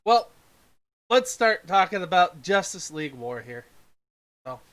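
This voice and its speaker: Podcast host, bright forceful voice, casual film-review banter, teasing, bossy, livestream chat. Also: medium pitch, very animated, measured pace.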